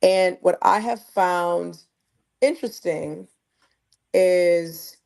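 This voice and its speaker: A woman from the United States hosts a relaxed conversation with a slightly low voice. She tells stories with animation, slowing and stretching her phrasing as she works out her thoughts. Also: creaky. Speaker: host. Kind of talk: casual discussion.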